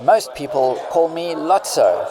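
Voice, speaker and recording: A man's voice: a little high, sing-song, a little slow, a crowd in the background. A man playing a wry, world-weary former pilot turned detective in a radio drama. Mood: reflective. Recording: clear vehicle noise behind.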